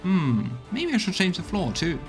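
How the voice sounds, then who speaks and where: Man playing an upbeat, higher-pitched shopkeeper, music behind. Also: wildly swooping pitch, moderate pace. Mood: optimistic. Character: upbeat, optimistic male shopkeeper planning renovations. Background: game music.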